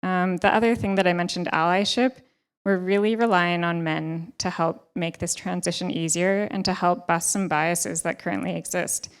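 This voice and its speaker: A young woman speaking on a panel with a handheld mic in a ballroom, giving earnest, measured advice. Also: on the low side, fairly even intonation, clear, resonant tone. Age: young adult. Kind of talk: panel discussion talk. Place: hotel ballroom stage.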